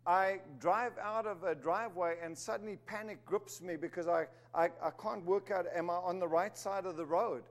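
A man's voice: high-pitched, moderate pace, hardly any pauses. A man from Zimbabwe, raised in Africa, preaching an emphatic sermon with humorous asides. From Zimbabwe, raised in South Africa. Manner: emphatic, rising to stress key words, with humorous asides.

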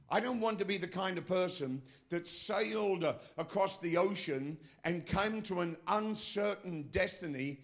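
Emphatic middle-aged pastor preaching. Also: male voice, high-pitched. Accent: foreign, not American. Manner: emphatic preaching with rhetorical questions to the congregation. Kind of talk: sermon. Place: church.

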